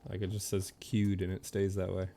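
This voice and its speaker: A man streaming a game with low-key, musing commentary, in a casual live style. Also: monotone, fairly quick, gravelly, dark tone. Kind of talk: casual live gameplay commentary, sometimes reading game text aloud. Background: faint game music.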